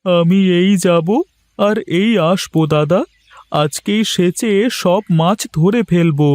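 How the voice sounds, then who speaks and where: A man's voice: high voice, slow pace, darkish tone, no room sound. A man voicing an eager, obliging young village helper in a cartoon. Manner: eager, deferential. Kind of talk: cartoon voice acting.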